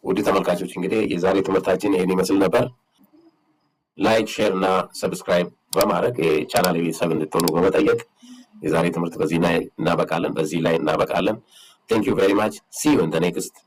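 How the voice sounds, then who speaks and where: A man with an Ethiopian accent and a husky voice, teaching a bilingual English lesson and drilling words and phrases slowly. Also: low-pitched, fairly even intonation, a little hiss or hum. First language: Amharic. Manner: switches between Amharic and English, slow drilled English.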